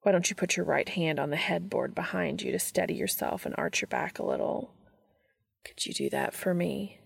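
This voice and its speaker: A woman voicing a commanding, tender adult man in a romantic audio story, speaking low, slow and often in a whisper. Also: vocal fry, breathy edge, exaggerated voice. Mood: hushed, commanding.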